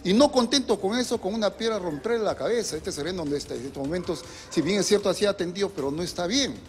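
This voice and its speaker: A man's voice: sits high, lively intonation, quick pace. A middle-aged Peruvian man, a municipal security manager, answering earnestly in a live outdoor TV interview with fillers and restarts. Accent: Peruvian Spanish. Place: outdoors on a city street. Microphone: handheld reporter mic. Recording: music bed underneath.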